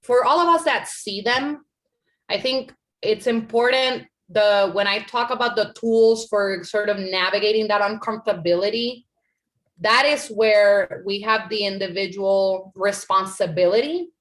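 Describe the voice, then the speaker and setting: A woman's voice: mid-range voice, measured pace, a bit bright, low-quality recording. A woman from Puerto Rico answering questions on a panel, earnest and conversational, often adding "like" and "right?". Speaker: panel speaker.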